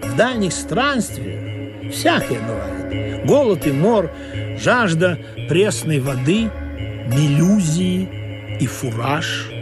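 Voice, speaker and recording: A man playing a droll sailor who narrates a comic sea tale in an expressive, theatrical, husky voice. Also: on the high side, takes it slowly, over music. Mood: droll storytelling. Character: droll male sailor narrator, excitable and fawning among crew.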